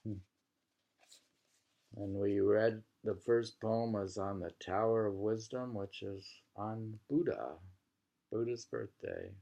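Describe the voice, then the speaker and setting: A man reads poetry and comments on it in a low, gravelly voice. He lingers over the words in a reflective way, at a very unhurried pace. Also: steady tone, dark tone, muffled recording. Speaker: poetry reader. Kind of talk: poetry reading with casual commentary.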